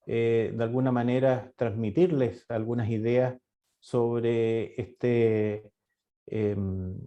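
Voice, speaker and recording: A man's voice: fairly low pitch, mellow. A middle-aged man from Chile giving a webinar talk as a university director, hesitant, with many fillers and restarts. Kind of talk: webinar presentation. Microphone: video call. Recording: dull lo-fi online call audio.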